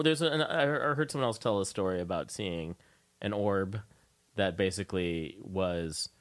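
A man with a fairly low voice, recorded very dry with no room sound. He hosts a relaxed, conversational interview. His delivery is halting as he thinks aloud and works toward his questions. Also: no room sound. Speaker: radio show host interviewing a guest.

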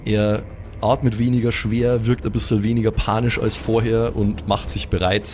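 A man's voice: lowish voice, little pitch movement, moderate pace, darkish tone, a little hiss or hum. A man improvising colloquial, dramatic narration as game master of a pen and paper audio play.

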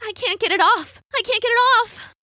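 A woman's voice: extremely high pitch, moderate pace, bright, forceful tone, dry recording, clean studio sound, pitched above the performer's own voice. A woman voicing a brave, frantic young fairy-tale girl, urgent and pleading, in a storybook style. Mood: desperate. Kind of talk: storybook character voice.

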